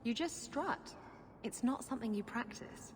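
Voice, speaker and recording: A woman's voice: fairly high pitch, lively intonation, normal speed, background noise, close to an everyday voice. An actress playing a flirtatious, swaggering female pirate who teases in a worldly, sultry way. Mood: teasing. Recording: game cutscene with music bed.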